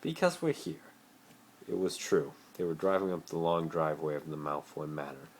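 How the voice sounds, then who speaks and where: A man's voice: a bass voice, fairly even intonation, brisk, slight background noise. A man narrating fan fiction as an amateur dramatic reader, recorded on a phone.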